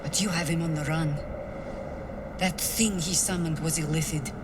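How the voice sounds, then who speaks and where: A woman's voice: sits low, steady tone, normal speed, natural voice. A woman voicing a resolute, loyal soldier in a fantasy video game. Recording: long reverb, noisy ambience.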